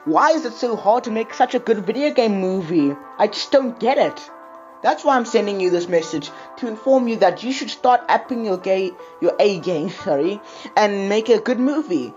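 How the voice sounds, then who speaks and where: A woman's voice: mid-range voice, expressive, normal speed, a little mellow, distant mic, muffled recording. Female video host, rambling and exclamatory, recorded off-mic in an echoey room with music underneath. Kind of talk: casual opinionated video commentary.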